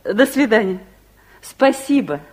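High, animated fussing mother. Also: slow pace, breathy edge, full character voice. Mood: politely apologetic. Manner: expressive, fussing, theatrical. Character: fussy, practical middle-aged mother, easily exasperated but soft-hearted. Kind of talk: 1960s Soviet radio play acting.

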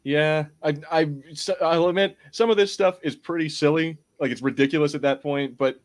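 A man's voice: on the high side, steady tone, moderate pace. A man chatting as a podcast panelist, conceding points in a conciliatory way as he debates casually.

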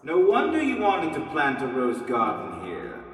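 A gruff, jealous phantom sea captain, acted by a man for an audio drama. He blusters sarcastically with big swings of pitch, his voice distant and heavily echoing, with music underneath. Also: huge pitch swings, measured pace. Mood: sarcastic, accusing. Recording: echoey hall, distant from mic.

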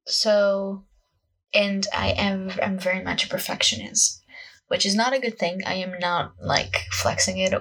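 A woman around twenty with a Portuguese accent chats casually into a solo podcast. Her voice is fairly husky, with plenty of vocal fry. She rambles in a scattered, self-conscious way, leaning on "like" as she goes. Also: medium pitch, steady tone, unhurried, a bit bright, rough recording. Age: young adult, about 20. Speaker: podcast host, acting student.

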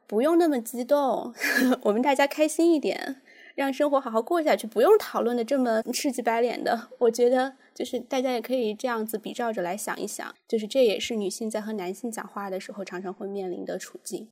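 A woman's voice: fairly high pitch, a little fast, a little mellow. A woman co-hosting a podcast, talking earnestly and with firm opinions in a casual panel discussion. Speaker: podcast co-host.

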